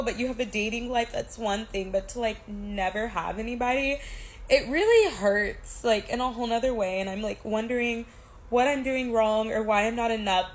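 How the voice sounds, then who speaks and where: A woman's voice: on the high side, rough recording. Earnest woman in her late twenties. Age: 20s. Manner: earnest, searching, many fillers. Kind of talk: confessional vlog.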